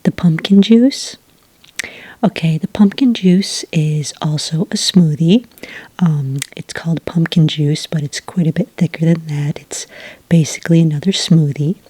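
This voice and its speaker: Breathy, whispering cafe witch played by a woman. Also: low-pitched, measured pace, dry recording. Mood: patient, gentle. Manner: patient, gentle, explanatory. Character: friendly helpful witch serving at a magical cafe. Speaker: cafe server taking orders. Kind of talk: soft-spoken whispered ASMR roleplay.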